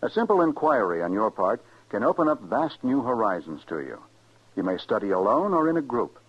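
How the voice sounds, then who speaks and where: Husky, animated American radio announcer. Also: male voice, medium pitch, brisk. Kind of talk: scripted old-time radio public service announcement. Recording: muffled old radio recording.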